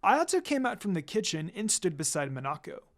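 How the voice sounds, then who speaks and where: A narrator reading fan fiction aloud in a scripted, expressive storytelling style. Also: medium pitch, brisk. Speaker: story reader.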